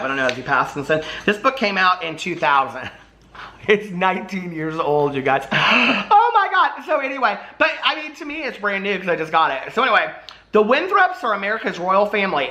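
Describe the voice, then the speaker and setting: A man's voice: fairly high pitch, bright, forceful tone. A man chatting casually as a book vlogger, gushy and playfully dramatic, often wandering off into rambling asides. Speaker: book vlogger hosting a readalong. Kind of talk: casual chat to camera.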